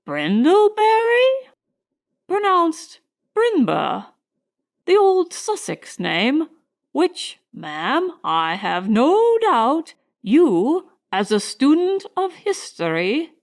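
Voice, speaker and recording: Woman doing a high, cheeky young Cockney lad. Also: huge pitch swings, drawn-out words, heavily acted. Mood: cheeky, grandiose. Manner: theatrical, impudent, flourishing. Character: cheeky, exuberant eighteen-year-old Cockney servant boy.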